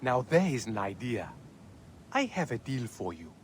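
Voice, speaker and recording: A man acting a brash, scheming arena boss in a video game, with a rough edge and theatrical, drawn-out delivery. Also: medium pitch, very animated, slight background noise, lightly acted. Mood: sly, enticing.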